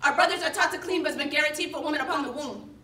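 High, bright young woman performing slam poetry. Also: racing. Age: young adult. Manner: emphatic, declamatory. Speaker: slam poet. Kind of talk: spoken word poetry performance. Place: indoor stage in front of a projection screen. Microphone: far camera. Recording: roomy, distant microphone, background noise.

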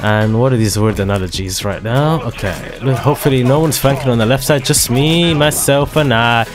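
A man giving excitable, slangy commentary over his own shooter gameplay, his voice a little hoarse from a dry throat. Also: mid-range voice, moderate pace. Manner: excitable, slangy trash-talking banter. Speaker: gaming video creator. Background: loud background music and gunfire.

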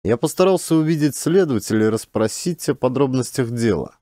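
A man reading a literary story as an audiobook narrator, in an expressive, measured storytelling manner. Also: medium pitch, dry recording, studio quality.

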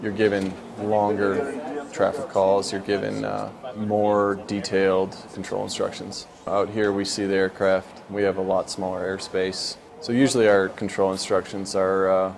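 Low, even-voiced young man. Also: moderate pace, vocal fry, crowd noise. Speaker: military air traffic controller. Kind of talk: informal explanatory interview. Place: indoors in a glass-walled control tower.

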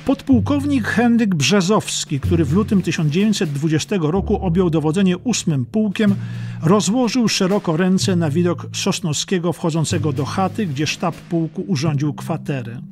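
A man's voice: sits high, animated, normal speed, a little mellow, faint music behind. A man reading scripted history narration as an audiobook narrator.

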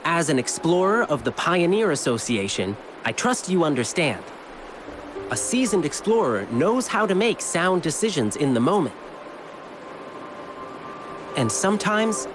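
A man's voice: on the high side, noisy background. A man playing an earnest, protective young hero in a video game, with a polite, reassuring way of talking. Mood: gently reassuring. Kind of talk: scripted video game voice acting. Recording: game music under the voice. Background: background music.

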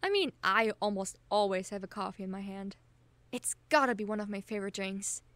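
A woman plays a caring young woman at home with her crush in an audio roleplay. Her voice sits at a middle pitch. She talks casually and intimately, gently teasing, with small hesitations. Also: brisk, dry recording, lightly acted. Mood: fond, chatty. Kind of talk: intimate ASMR-style audio roleplay, casual one-sided chat.

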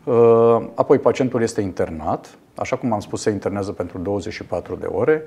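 A man in his fifties, a radiologist guest in a TV studio interview, explaining a procedure in a measured way with frequent fillers. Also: lowish voice, creaky, dark tone, husky. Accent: Romanian. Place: television studio.

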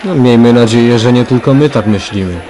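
An actor playing a grumbling, sceptical younger detective chatting colloquially in a crime radio drama. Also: male voice, medium pitch, fairly quick, dark tone, natural voice. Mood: sceptical, casual.

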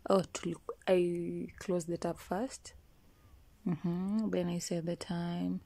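A woman's voice: on the low side, little pitch movement, slow, creaky, warm tone, no room sound. A woman with a Kenyan accent chatting casually and playfully as she narrates a chore, switching languages mid-sentence. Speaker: vlogger. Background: clicking and water sounds.

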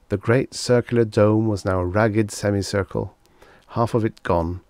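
A man narrating a story as an audiobook reader, measured and expressive. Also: lowish voice, no room sound.

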